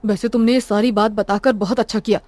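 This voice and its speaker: A woman voicing a bold, irritable male traveller with a brisk, challenging manner in a cartoon story. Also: medium pitch, steady tone, a little mellow, close to an everyday voice. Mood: bold, commanding.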